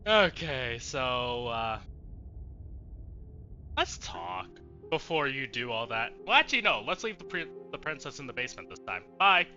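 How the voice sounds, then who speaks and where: Bright, animated man streaming a game. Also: medium pitch, unhurried, muffled recording, soft background music. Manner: irreverent, sweary, playful. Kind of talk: casual let's play commentary.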